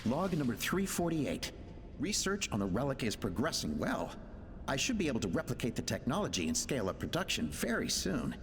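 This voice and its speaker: A medium-pitched, ambitious inventor records his research notes for a video game. His earnest, deliberate delivery moves with lively inflection through long reverb and clear background ambience. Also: slight background noise. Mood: proud, determined. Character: ambitious, driven male inventor reading out his research log. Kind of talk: English video game voice acting.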